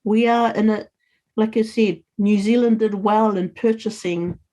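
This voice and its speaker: A woman's voice: medium pitch, fairly even intonation, unhurried, dark tone. A woman who speaks English as a second language, talking as a podcast guest in an earnest, encouraging way. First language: non-English first language. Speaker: podcast guest, community leader. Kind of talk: casual interview talk.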